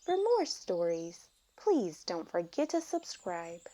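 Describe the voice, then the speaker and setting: A woman reading a children's picture book aloud, with deliberate, expressive phrasing for young children. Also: fairly low pitch, a little hiss or hum. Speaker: storybook reader.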